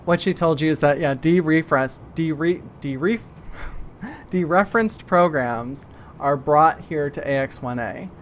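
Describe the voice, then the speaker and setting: A man narrating a tabletop game as game master, casually and haltingly, searching for his words. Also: fairly high pitch, slight background noise.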